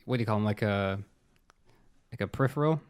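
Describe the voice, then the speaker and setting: Man, lowish voice, bantering. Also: fast talker, clean tone. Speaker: podcast co-host. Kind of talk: casual banter.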